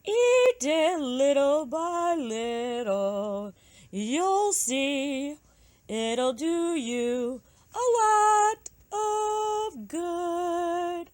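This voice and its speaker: A woman's voice: high-pitched, expressive, drawn-out words, dry recording, voice pushed up, exaggerated voice. A woman voicing a caring, helpful female magpie in a children's storybook, with a fussy, stretched-out delivery. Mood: caring, instructive. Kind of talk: storybook read-aloud for young children.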